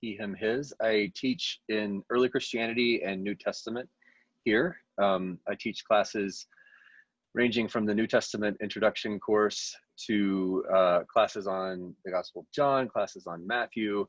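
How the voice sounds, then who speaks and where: A man's voice: lowish voice, steady tone, unhurried, no echo. A middle-aged man from North Carolina, a New Testament professor introducing himself on a video call with upbeat enthusiasm. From North Carolina, USA. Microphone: video call webcam. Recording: dull, dry webcam audio.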